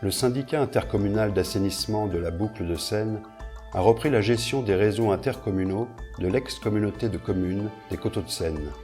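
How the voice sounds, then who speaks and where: Male narrator, lowish voice, scripted informational read, light music underneath. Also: fairly quick, darkish tone.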